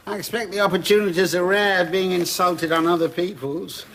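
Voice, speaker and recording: An actor playing a weary, long-suffering middle-aged husband who speaks in a dry, understated and patient way. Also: male voice, high-pitched, fairly even intonation, moderate pace. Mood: resigned. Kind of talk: 1990s British TV sitcom acting. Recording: noisy TV sitcom track with audience laughter.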